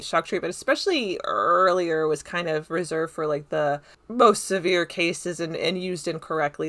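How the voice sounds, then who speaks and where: Bright-voiced woman chatting casually, slightly low. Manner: rambling, enthusiastic, conversational. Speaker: video essayist reviewing a film. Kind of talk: casual unscripted commentary.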